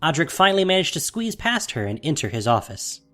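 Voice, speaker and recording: A man's voice: fairly high pitch, very animated, a little fast, fairly bright tone, soft background music. Man narrating a dramatic fantasy tale.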